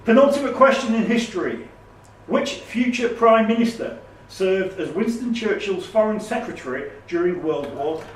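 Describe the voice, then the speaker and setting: A man's voice: high voice, expressive, normal speed. A man acting as quizmaster, reading questions aloud and ruling on the answers. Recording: roomy, distant, noisy recording.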